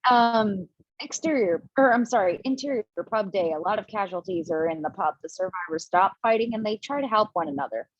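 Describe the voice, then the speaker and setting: A woman narrating stage directions for an amateur table read over a video call, casual and chatty with little asides. Her voice is mid-pitched, and the sound is dry and somewhat dull, like a lo-fi call. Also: a little fast, breathy edge, no room sound. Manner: casual, chatty reading with asides and self-corrections.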